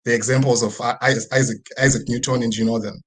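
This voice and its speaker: Zimbabwean male speaker, mid-pitched and husky, conversational motivational talk over video call. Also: steady tone, fast talker, a bit bright. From rural Midlands region of Zimbabwe. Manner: conversational, frequent tag questions seeking agreement. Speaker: guest speaker. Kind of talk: personal motivational presentation. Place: online video presentation with screen sharing.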